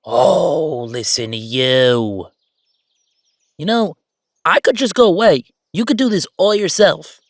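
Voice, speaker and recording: A man playing a bossy, playful yet sentimental ghost roommate in a conversational roleplay audio drama, with animated, teasing banter. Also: fairly high pitch, takes it slowly, no echo, studio quality. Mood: mock offended, bossy.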